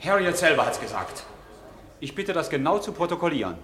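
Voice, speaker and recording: An actor playing an insistent defence lawyer in a radio play, with formal, probing courtroom oratory. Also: male voice, fairly high pitch, sing-song, fairly quick, a bit bright. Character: insistent, rhetorical male defence lawyer in old London. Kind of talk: German radio play acting. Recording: echoey hall with background hiss.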